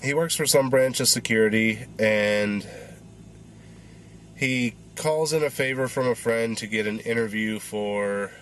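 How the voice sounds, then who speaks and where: A man's voice: mid-range voice, measured pace, a bit bright, a little hiss or hum. A man in his thirties giving a rambling, casual movie review with a congested, stuffy voice while he gets over a cold. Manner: rambling, filler-heavy. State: congested, recovering voice.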